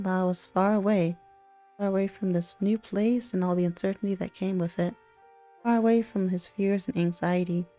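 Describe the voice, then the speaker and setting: A woman's voice: fairly low pitch, mellow. A woman hosting a let's play, reading a visual novel aloud and reacting casually. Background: faint music.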